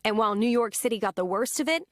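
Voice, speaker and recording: A female news correspondent narrating a scripted report in a crisp manner. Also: on the high side, lively intonation, fast, a bit bright, no echo, studio quality.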